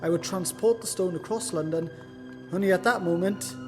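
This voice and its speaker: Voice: mid-range voice, normal speed, close to an everyday voice. An actor playing a cowardly, whining manservant turned thief in a festive radio play, pleading and flustered. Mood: anxious narrating. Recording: long hall reverb, loud music underneath.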